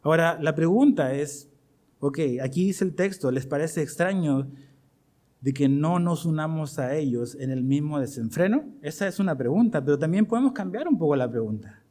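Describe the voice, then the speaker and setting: A man's voice: fairly high pitch, lively intonation, normal speed, mellow. A middle-aged man with a Chilean accent preaching to his congregation as pastor, asking them questions as he goes. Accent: Chilean Spanish. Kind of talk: sermon.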